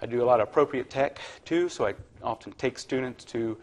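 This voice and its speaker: A man in his thirties from Virginia giving a relaxed, chatty university lecture to a classroom, with plenty of ums and uhs. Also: medium pitch, brisk, slight background noise. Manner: relaxed, chatty, filler-heavy.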